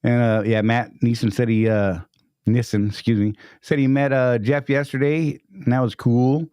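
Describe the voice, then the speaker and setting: A man's voice: mid-range voice, little pitch movement, normal speed, dark tone, clean tone, no echo, studio quality. A man hosting a casual live stream, upbeat and greeting chat viewers by name.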